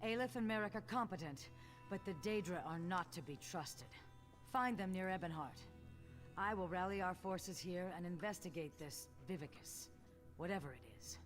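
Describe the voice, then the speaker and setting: A woman playing a grave, concerned reptilian commander in a video game, with a measured, authoritative manner. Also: on the low side, soft background music, close to an everyday voice. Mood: concerned, commanding. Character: grave, concerned female Argonian commander addressing a recruit as comrade.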